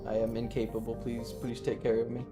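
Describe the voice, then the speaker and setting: Male game streamer, middle pitch, unhurried casual banter, playful and sweary, music underneath. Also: monotone. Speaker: livestreamer playing a game. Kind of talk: casual chat with viewers during gameplay. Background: video game music.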